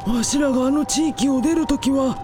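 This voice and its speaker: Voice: high voice, a little fast, music in the background, lightly acted. A performer in a radio drama voicing a worried, folksy elderly village man with fretful old-fashioned speech. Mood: weary, worried. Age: older.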